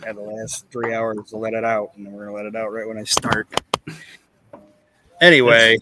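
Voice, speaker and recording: A man hosting a casual comedy podcast, chatting and reading things out in a deadpan way. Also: on the low side, moderate pace, heavy background noise. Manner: deadpan, monotone when reading. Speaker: podcast host. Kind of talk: casual comedic podcast chat with mock recitation.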